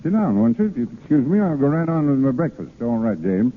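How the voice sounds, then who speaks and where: Jovial husband, lively old radio voice. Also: male voice, medium pitch, quick pace, long reverb tail. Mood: genial. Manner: breezy, clipped, teasing. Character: genial, well-off husband, jovial and fond. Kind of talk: 1930s radio drama acting. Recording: noisy, hollow, narrow-band old radio broadcast.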